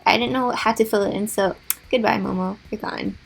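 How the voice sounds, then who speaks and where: A young woman with a raspy voice, chatting casually and with self-deprecating humor as she talks over a drawing timelapse. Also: mid-range voice, steady tone, fairly quick, soft background music. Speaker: gaming YouTuber.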